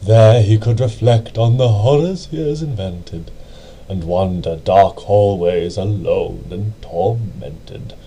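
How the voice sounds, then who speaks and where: A man narrates dark verse in a low, husky voice. He takes it very slowly and deliberately, with a brooding, theatrical feel to the scripted lines. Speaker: amateur reader. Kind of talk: dramatic scripted poem narration.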